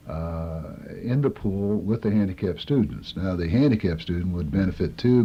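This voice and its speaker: A middle-aged man, a college coach, explaining things in a radio interview. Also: low voice. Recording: dull old tape with faint noise.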